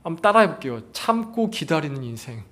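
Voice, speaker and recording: A male pastor delivers a sermon in a high-set voice. His intonation swings widely and animatedly. He puts questions to the congregation and repeats key phrases for emphasis. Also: sing-song, fairly quick, a bit bright.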